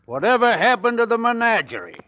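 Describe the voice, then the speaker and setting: An actor playing a hearty, loyal older army general in an old-time radio drama, with a husky voice and formal delivery. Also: male voice, very high-pitched, big pitch swings, fairly quick, bright, forceful tone, exaggerated voice. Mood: friendly, curious. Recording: dull vintage radio recording.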